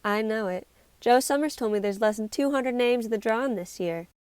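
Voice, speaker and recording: Sociable American townswoman, acted by a woman: smooth, dry-sounding, gossipy, amateur stage delivery. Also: fairly high pitch, fairly quick, darkish tone, dry recording, close to an everyday voice. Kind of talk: amateur stage-play acting.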